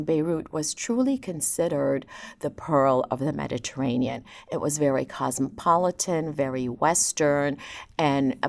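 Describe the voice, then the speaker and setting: Low-pitched woman guest from lebanon, armenian first language, calm and reflective. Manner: measured, articulate, reflective. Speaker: guest ophthalmologist. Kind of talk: interview storytelling.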